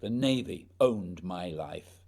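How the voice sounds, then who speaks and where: A man's voice: low voice, expressive, slow pace, darkish tone, exaggerated voice. A man playing a proud, determined naval explorer in a radio play, speaking earnestly and dramatically, often questioning. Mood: proud, recollecting.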